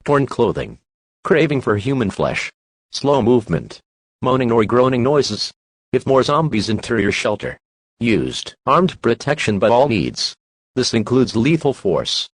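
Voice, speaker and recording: A formal male announcer reads a scripted emergency alert. His voice is husky, with pitch that jumps around in a synthetic-sounding way, and the sound is very dry and close. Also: dry recording.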